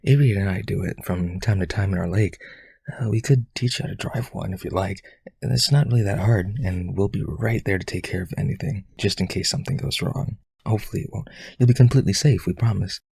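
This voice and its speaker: A low, very husky male voice with some creak, a man acting a rich young-blooded vampire suitor in an audio roleplay. He is lonely and clingy, hesitant yet eager to please. The sound is dry and close. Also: a little fast, a little mellow, dry recording. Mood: eager, coaxing.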